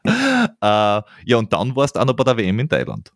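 A man's voice: medium pitch, wildly swooping pitch, normal speed, no room sound, studio quality. A man hosting a podcast, chatting casually with many stammered restarts and laughs. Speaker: podcast host. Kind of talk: casual interview chat.

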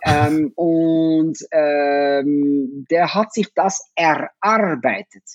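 A Dutch-accented man in his fifties with a fairly high, husky voice, talking casually and stopping often to restart his sentences. The sound is dry and a little dull, like a lo-fi recording. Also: very slow, no room sound. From Netherlands. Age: 50s. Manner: hesitant, many fillers and restarts. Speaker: guest, parent of athletes. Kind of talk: casual conversation.

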